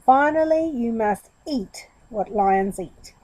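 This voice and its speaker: A woman's voice: fairly high pitch, expressive, takes it slowly, mellow, no room sound, low-quality recording. A woman voicing a knowing male monkey in a children's story, lecturing with dramatic deliberation. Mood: instructing.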